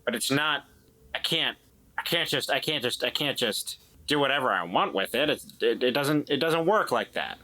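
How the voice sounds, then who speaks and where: A man's voice: mid-range voice, animated, moderate pace, pushed and bright. A man playing a defensive, evasive and distrustful man in a tabletop drama, stammering and repeating himself as he explains. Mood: insistent, earnest.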